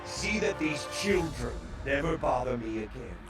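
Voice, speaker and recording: An actor playing a cold, pompous, menacing villain who declaims ominously in an animated video game. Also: male voice, medium pitch, moderate pace, lightly acted. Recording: echoing, distant, music behind.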